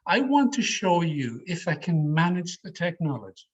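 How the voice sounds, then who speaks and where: Husky, higher-pitched man teaching public speaking. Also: big pitch swings. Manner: deliberate, emphatic, with demonstrative vocal variety. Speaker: speech trainer giving an educational session. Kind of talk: online workshop presentation on public speaking. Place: online video call. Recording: slightly muffled, lo-fi video call audio.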